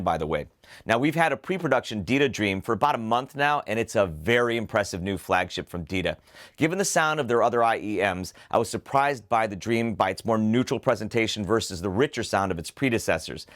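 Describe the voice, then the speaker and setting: A man hosting a scripted product preview, explaining details in a measured way and spelling out model names clearly. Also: mid-range voice. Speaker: host and headphone reviewer.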